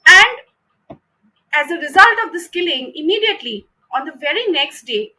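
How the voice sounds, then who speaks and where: A woman's voice: high-pitched, animated, moderate pace, pushed and bright, rough recording. A woman giving a prepared political commentary in a formal, emphatic manner, repeating phrases for stress. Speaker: commentator presenting own research.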